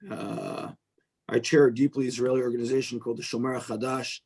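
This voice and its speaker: A man's voice: medium pitch, little pitch movement, fairly quick, gravelly, darkish tone, husky. A middle-aged man with an American accent speaks informally and discursively as a guest on a video call, with some self-deprecation. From United States. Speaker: venture capitalist, guest speaker.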